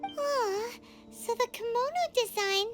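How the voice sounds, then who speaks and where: A tiny fairy sidekick from a video game, eager and bubbly. The voice is extremely high, childlike and squeaky, with lively swings in pitch. Prominent music plays behind it. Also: female voice, slow pace, full character voice. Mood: curious. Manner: bubbly, speaks of herself in third person.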